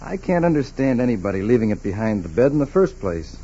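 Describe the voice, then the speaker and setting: An actor playing an inquisitive, plain-spoken investigator in a 1940s radio drama, casual and probing. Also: male voice, mid-range voice, very animated, fast, warm tone, breathy edge. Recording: dull 1940s radio recording.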